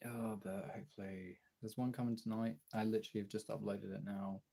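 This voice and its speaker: British male streamer, low and husky with a croaky fry, hoarse from illness, informal sweary chat. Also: sing-song, a little fast, dark tone. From Britain. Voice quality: raspy, throaty. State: hoarse from illness, throat clearing. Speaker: live streamer and audio voice performer. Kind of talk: casual livestream chat.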